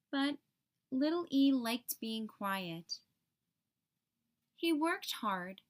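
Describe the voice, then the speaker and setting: Woman, high voice, slow pace, deliberate storybook narration for young children. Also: fairly bright tone. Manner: deliberate, clearly paced storytelling. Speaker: children's read-aloud host, teacher.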